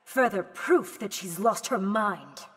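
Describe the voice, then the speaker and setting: A woman's voice: medium pitch, expressive, takes it slowly, slightly rough. A woman playing a proud, resolute young warrior princess in a fighting game, speaking firmly, coldly and formally. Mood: defiant. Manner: firm, cold, formal. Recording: distant, loud music behind.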